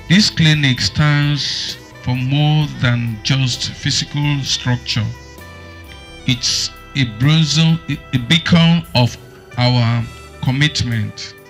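An older man with a Nigerian accent delivers a formal written address. His pace is slow and measured, with halting phrasing and a bright, forceful tone. Music plays faintly behind him. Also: medium pitch. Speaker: clinic founder and evangelist. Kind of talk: formal speech read aloud. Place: outdoors on a building veranda, into a microphone. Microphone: microphone close to the mouth.

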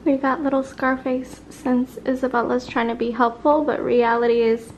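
A woman's voice: high-pitched, level tone, normal speed. A young woman chatting casually with small children at home, coaxing them in short playful phrases. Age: young adult. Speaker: family vlogger and mother. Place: indoors at home, kitchen. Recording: roomy home recording, distant mic, faint noise.

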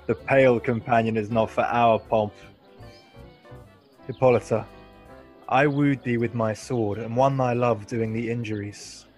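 A man's voice: mid-range voice, fairly even intonation, a little slow, pauses often, a little mellow, faint music behind, lightly acted. A man acting a noble, commanding duke in a Shakespearean radio play, stately and declamatory. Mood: commanding, festive.

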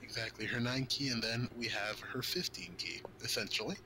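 A man's voice: mid-range voice, fairly quick, noisy background. A man co-hosting casual gaming news, chatting and reading out card stats. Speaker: co-host reading game card translations.

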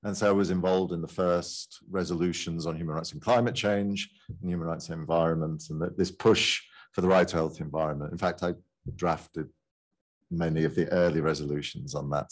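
Man, very low voice, wry easygoing self-introduction, panel table mic. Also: fairly even intonation, fairly quick. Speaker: panelist from a think tank. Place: large conference room.

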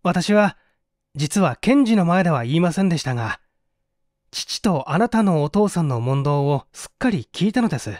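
A male reader plays a dutiful young man in an old mystery story. His voice is fairly high for a man and swings widely with feeling. He speaks in formal, polite phrases with earnest emphasis, and the sound is dry and close. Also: very animated, normal speed, a little mellow, no echo. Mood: earnest, humble.

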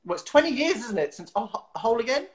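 A man's voice: very high voice, very animated, very fast. A man in his thirties hosting a casual video-call interview from a small room at home, chatty and giggly with lots of fillers and restarts. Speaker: host. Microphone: webcam on a video call.

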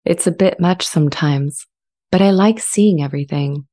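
A woman playing a gentle, thoughtful sentient AI in a dramatized reading, with a calm, measured and reflective delivery. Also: lowish voice, lightly acted. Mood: serene, thoughtful. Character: sentient female-voiced AI, gentle, serene and thoughtful. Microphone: large studio condenser mic up close.

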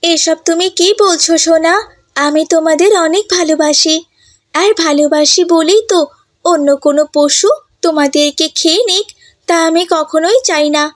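A woman playing a mother duck, high pitch, dry close sound, gentle, protective, cautioning, cartoon fairy tale. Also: steady tone, normal speed, no echo. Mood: tender. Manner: soothing, cautioning. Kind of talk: animated fairy-tale voice acting.

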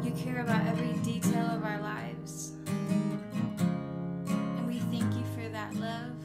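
Soft-praying woman over loud guitar. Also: on the high side, flat delivery, unhurried. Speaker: worship leader. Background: loud acoustic guitar worship music.

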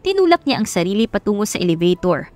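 A woman's voice: mid-range voice, big pitch swings, fast, a little mellow. A woman delivering scripted, dramatic narration of a love story as its narrator. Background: background music.

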